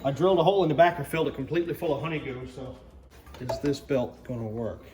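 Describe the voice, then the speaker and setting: A man's voice: medium pitch, quick pace. Guy doing a casual garage vlog, mumbling to himself as he works, echoey with a bit of background noise. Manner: thinks aloud while working, muttering to himself. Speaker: host of a DIY car build channel.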